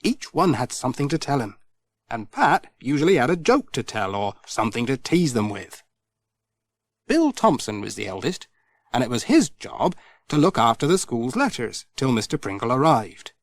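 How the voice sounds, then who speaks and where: A male audiobook narrator reads a children's story expressively. His mid-range voice swings widely and animatedly in pitch, and the recording is close and dry. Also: very animated, normal speed, no echo.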